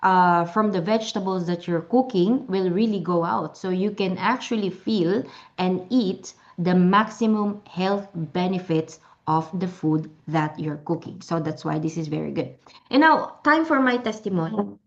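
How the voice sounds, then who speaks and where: A woman's voice: fairly low pitch, unhurried. Tagalog-speaking woman doing a chatty sales demo, lots of ums and restarts, kinda muffled recording. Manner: chatty, many restarts and fillers. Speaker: product seller. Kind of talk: product demonstration.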